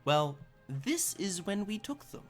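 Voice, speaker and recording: A man's voice: high voice, very animated, measured pace, soft background music, voice pushed up. Man playing a stammering, officious tour guide. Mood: proud, flustered. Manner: hesitant, stammering, formal. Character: evasive, officious museum tour guide showing off frozen exhibits.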